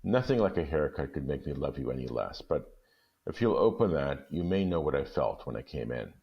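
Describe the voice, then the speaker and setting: Brief: man playing a young husband in a storybook, hesitant, deep gravelly voice, dull lo-fi recording. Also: big pitch swings, full character voice. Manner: slow, hesitant. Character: young husband, quiet and slow.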